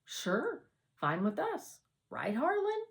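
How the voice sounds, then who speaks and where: A woman's voice: sits high, wildly swooping pitch, takes it slowly, gravelly, voice pushed up, full character voice. A woman voicing a reserved, kind schoolboy in a storybook, with an understated manner. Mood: shyly pleased.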